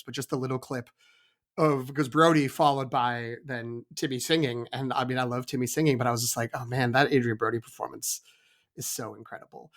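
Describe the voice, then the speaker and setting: A man talks as a panelist on a film podcast. His voice is medium in pitch, and he lays out his view in a measured, conversational way. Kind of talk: casual awards discussion.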